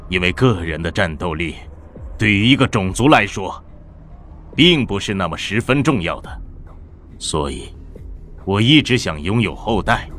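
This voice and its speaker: Guy doing a cold calm giant monster boss, very deep voice, measured, faint music under it. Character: huge armored evolved monster villain, male, calm, cold.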